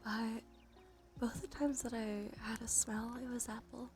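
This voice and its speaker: A woman doing gentle, unhurried ASMR in a breathy whisper and soft murmur, her nose slightly stuffy. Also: a little high, level tone. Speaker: ASMR streamer, host. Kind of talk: ASMR, soft intimate role play and rambling. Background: soft music.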